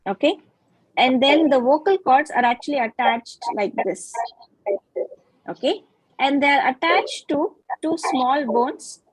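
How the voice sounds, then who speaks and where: A woman's voice: sits high, slow, dry recording, low-quality recording. A woman giving an online phonetics lecture, patiently explaining and checking in with frequent questions. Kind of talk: online lecture over video call.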